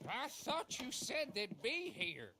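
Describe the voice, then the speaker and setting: An actor playing an officious small-town lawman in a western game, with an American accent and an animated manner. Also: very high-pitched, slow pace, full character voice. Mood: edgy. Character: fussy small-town lawman issuing bounty licences. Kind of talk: dramatic game cutscene acting.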